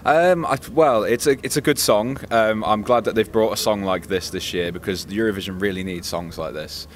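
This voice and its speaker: Low-voiced man, measured and diplomatic. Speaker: reviewer, co-presenter. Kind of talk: casual spoken review. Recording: faint background ambience noise.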